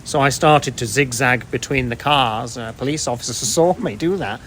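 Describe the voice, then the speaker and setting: A man's voice: medium pitch, normal speed, a bit bright, slight background noise. A middle-aged British man giving thoughtful, explanatory answers in a conversational interview. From United Kingdom.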